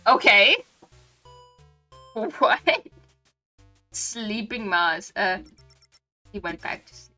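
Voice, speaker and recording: A young woman streams a game with a bright, forward voice that is clearly congested. Her talk is casual and scattered, full of little asides to herself. Music plays faintly in the background. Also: mid-range voice, animated, extremely slow, long pauses. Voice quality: stuffy, congested nose. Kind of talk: casual live stream chat while playing a game.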